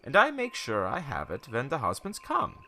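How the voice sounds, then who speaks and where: A man's voice: medium pitch, huge pitch swings, normal speed, sharp tone, full character voice. An actor playing a wary, cynical old townsman who tells cryptic stories in a radio play, in a theatrical, rambling way. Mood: reminiscing. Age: older. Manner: cryptic, theatrical, rambling storytelling with knowing chuckles. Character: wary, cynical old male townsman, former shopkeeper, storyteller.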